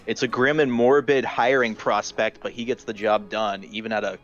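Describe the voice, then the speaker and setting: A man's voice: lowish voice, fairly even intonation, normal speed. A man in casual, joking chat as a tabletop roleplaying player, blunt and wry in the way he talks. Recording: dull lo-fi audio, faint music.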